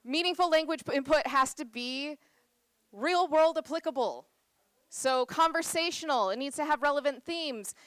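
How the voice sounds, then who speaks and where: A woman's voice: high-pitched, moderate pace, fairly bright tone, no room sound. A woman in her thirties from the United States giving a chatty, self-deprecating conference talk full of fillers. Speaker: conference presenter. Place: conference room.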